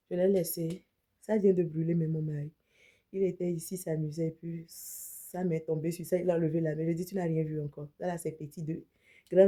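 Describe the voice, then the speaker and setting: A woman's voice: fairly low pitch, warm tone. A woman with a slight cold, chatting casually as she demonstrates a wig and mixes English words into her talk. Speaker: beauty vlogger and image consultant. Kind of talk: casual wig unboxing demo.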